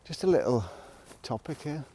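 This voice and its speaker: A man talking casually to a vlog audience in a reflective, rambling monologue, musing haltingly and trailing off between thoughts. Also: a little high, expressive, warm tone. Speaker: vlogger. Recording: noticeable background noise, clicks.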